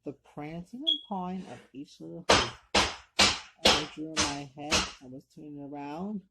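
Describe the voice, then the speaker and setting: A woman playing Santa's wife, reading a Christmas storybook poem aloud in a theatrical, deliberate, emphatic way. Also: sits low, lively intonation. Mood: storytelling. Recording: clearly noisy home recording, clicks.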